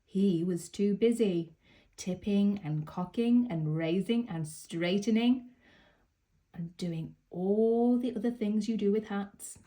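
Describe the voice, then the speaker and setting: A woman telling a children's story aloud in an expressive storybook style. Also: medium pitch, slow pace. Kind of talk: expressive children's picture book read-aloud.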